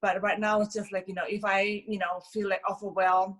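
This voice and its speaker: A woman's voice: mid-range voice, level tone, fairly quick, a bit bright. Chatty woman from Indonesia. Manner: chatty, many fillers like "you know" and "like". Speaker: fashion influencer, interview guest. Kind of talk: casual interview answers.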